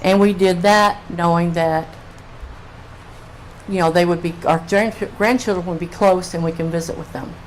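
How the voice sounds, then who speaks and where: An older woman with a fairly low voice gives public comment as a local resident. She talks informally into a meeting microphone, with faint room noise behind her. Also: fairly even intonation, moderate pace, poor audio.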